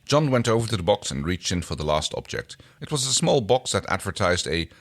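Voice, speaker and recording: Male podcast reader, slightly deep, expressive range, informal storytelling delivery. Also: a little fast, gravelly. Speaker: podcast co-host reading fan fiction aloud. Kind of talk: story reading with casual chat.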